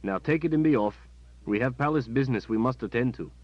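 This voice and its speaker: A man's voice: mid-range voice, a little fast, no echo, highs cut off, pitched above the performer's own voice, lightly acted. Man playing a bluff, kindly guard captain. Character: kindly gruff palace guard captain, man, middle-aged.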